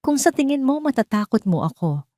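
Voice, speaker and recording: An emotional wife from a radio-style love drama, acted by a woman narrator. Her voice is dark and soft, wounded yet proud. She sounds desperate to shield her family. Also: high-pitched, very animated, fairly quick, no room sound, clean studio sound, voice pushed up. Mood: shaken defiance. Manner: emotional, trembling defiance.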